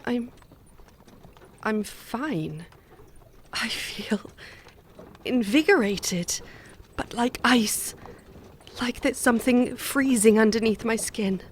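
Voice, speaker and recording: A woman's voice: on the high side, animated, slow pace, long pauses. Woman playing a haunted, resolute young wife. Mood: weak but resolute. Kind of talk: audio drama acting and narration. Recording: audible background noise.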